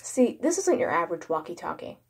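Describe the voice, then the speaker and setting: A woman with a somewhat low voice reads a horror story. She narrates in the first person as a creepypasta storyteller. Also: sing-song, fairly quick, vocal fry, slightly rough.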